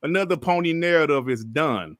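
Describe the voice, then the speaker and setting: A man from Detroit hosting a casual podcast livestream, animated and joking as he reads out chat messages. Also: fairly high pitch, brisk, no echo, clean studio sound. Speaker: podcast host.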